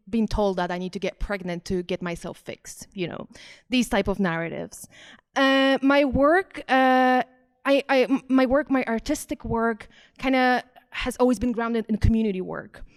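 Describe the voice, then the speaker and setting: A woman's voice: medium pitch, smooth. A woman from Poland with a Polish accent, giving an informal art presentation to an audience in a conversational, hesitant, self-questioning manner. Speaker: visual artist and researcher presenting her work.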